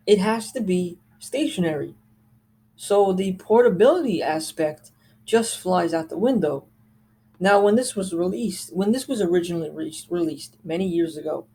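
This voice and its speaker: A mellow, medium-pitched voice hosts a casual show-and-tell of gadgets. The delivery is conversational and wanders into asides. There is some faint noise behind the voice. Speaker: host reviewing a radio collection.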